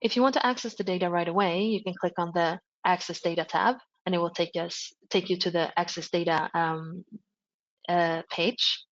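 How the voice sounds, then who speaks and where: A woman with a fairly low voice presents a webinar, walking through a site step by step. She talks in an explanatory way, with many fillers and self-corrections. The audio is dull and lo-fi. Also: fairly even intonation, moderate pace. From Sweden. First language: Swedish. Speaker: project presenter. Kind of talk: webinar screen-share walkthrough.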